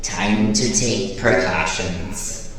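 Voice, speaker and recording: A man playing a failing, weary robot helper with halting, stuttering speech in a fan audio drama. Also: fairly low pitch, noisy background. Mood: weary, fading. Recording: very echoey, music underneath.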